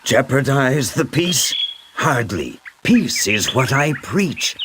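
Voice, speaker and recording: A man's voice: mid-range voice, very animated, slow. An actor playing a serene, grandiose man who poses as a god in a game, with a lofty, theatrical, preacherly delivery. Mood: lofty, amused. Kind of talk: scripted sci-fi game voice acting. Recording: clear background noise.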